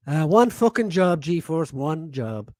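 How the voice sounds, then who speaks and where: A man's voice: fairly high pitch, no room sound, studio quality. A man in his forties hosting a casual live-stream podcast, reading chat messages aloud, laughing often and swearing freely. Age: 40s.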